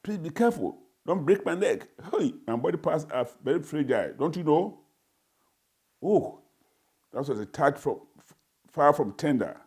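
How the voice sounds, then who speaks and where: A man's voice: a little high, sing-song, moderate pace, pauses often, a little mellow, slightly rough, full character voice. A man with a Ghanaian accent voicing an indignant newborn baby in a dramatized reading, with formal, florid, pompous phrasing. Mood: pleading. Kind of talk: dramatized first-person reading.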